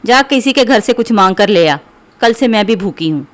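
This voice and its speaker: A woman's voice: medium pitch, lively intonation, moderate pace, close to an everyday voice. Woman acting a nagging older village mother-in-law. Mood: bossy, scolding. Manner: sharp, nagging, commanding. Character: quarrelsome, scolding, bossy village mother-in-law. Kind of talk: animated Hindi moral story acting.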